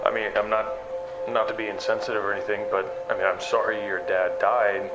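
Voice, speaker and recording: A man acting as a wary, skeptical investigator in a sci-fi audio drama, speaking in a halting, understated, conversational way. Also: lowish voice, level tone, natural voice, poor audio. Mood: skeptical, probing. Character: wary, skeptical male investigator broadcasting in secret. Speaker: narrator and lead character. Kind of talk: audio drama acting, confessional broadcast narration and dialogue. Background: prominent background music.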